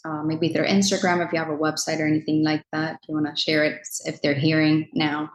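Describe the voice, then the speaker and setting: A woman's voice: deep voice, little pitch movement, fast. A woman hosting a podcast interview, chatty and enthusiastic, with lots of easy fillers. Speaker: podcast host. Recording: echoey room.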